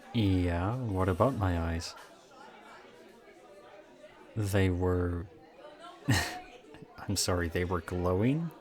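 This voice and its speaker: Guy doing a low voiced young college dude for an audio rp, charming but kinda off, casual w/ little hesitations. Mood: puzzled, reassuring. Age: young adult. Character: odd, charming young student with creepy intensity. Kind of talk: audio roleplay acting, addressed to listener.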